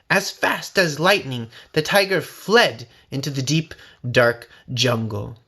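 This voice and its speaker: A man reading a children's story aloud as an expressive narrator. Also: fairly high pitch. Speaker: story reader.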